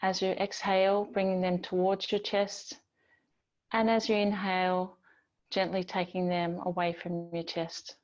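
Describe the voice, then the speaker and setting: A woman teaching yoga, guiding relaxation in a gentle, soothing, unhurried manner with long pauses between phrases. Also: on the low side, flat delivery, lo-fi sound. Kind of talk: guided yoga and relaxation instruction.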